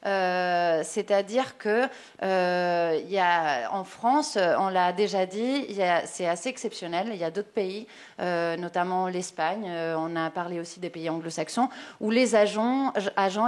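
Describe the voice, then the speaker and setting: A woman journalist moderating a round-table, introducing a guest with halting, filler-heavy phrasing. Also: fairly low pitch. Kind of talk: panel moderation.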